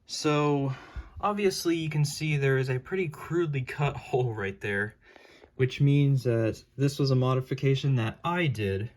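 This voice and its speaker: A man giving a casual, informal hands-on DIY demonstration as a hobbyist. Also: medium pitch. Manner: unhurried, informal. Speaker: hobbyist presenter. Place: his room at home. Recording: clearly noisy home recording with clicks.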